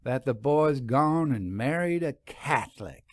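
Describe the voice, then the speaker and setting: A man's voice: mid-range voice, lively intonation, dry recording, studio quality, lightly acted. A man voicing a cheerful, folksy young country wife in a storybook reading. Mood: sheepish. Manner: folksy, teasing, quick to laugh.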